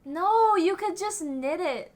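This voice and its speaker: A young woman with a bright, high-pitched voice reacts to an anime alongside a friend. She talks casually and breaks into excited gasps and squeals. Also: slow pace.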